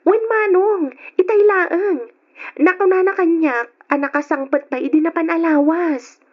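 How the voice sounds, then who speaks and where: A woman's voice: extremely high pitch, a little slow. A woman playing a cheerful, chatty young graduate with an eager, giggly manner in an old Ilocano radio drama. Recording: dull lo-fi old radio recording.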